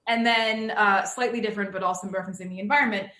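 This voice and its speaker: An American woman moderates a video panel in a casual, searching way, often thinking aloud. Her voice is bright and forward, at a middling pitch for a woman. The call carries a clearly roomy echo. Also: steady tone, fast talker. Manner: informal, hesitant, thinking aloud. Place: indoor room, on Zoom call.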